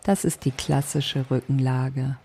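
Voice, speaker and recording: Woman doing yoga nidra sleep meditation, soft calming voice, dark tone with lots of fry. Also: rumbling low. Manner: soft, calming, deliberate instruction.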